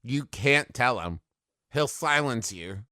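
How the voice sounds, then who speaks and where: A man's voice: mid-range voice, expressive, takes it slowly, sharp tone, no room sound, clean studio sound. A man riffing casually as a host on a comedy podcast.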